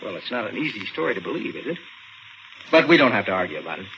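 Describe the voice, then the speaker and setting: An actor playing a skeptical, easygoing American police detective in a 1940s radio drama, casual and gently condescending. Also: male voice, lowish voice, big pitch swings, racing, exaggerated voice. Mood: doubtful. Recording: muffled, noisy old radio broadcast.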